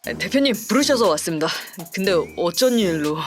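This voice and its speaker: A sleazy deputy manager acted by a woman in a web audio drama. The delivery is smug and condescending, full of scheming self-importance. Music plays clearly behind the voice. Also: medium pitch, lively intonation, brisk, lightly acted. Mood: fawning, boastful. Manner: oily, self-satisfied, condescending. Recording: background music under the voice.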